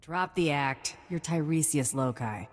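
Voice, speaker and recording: A tough mercenary heroine in a video game. The low voice is clipped, dry and no-nonsense, and it rings in a reverberant space. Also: female voice, lively intonation, a little slow. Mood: wry, threatening. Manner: curt, wry, no-nonsense. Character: tough, curt female bounty hunter.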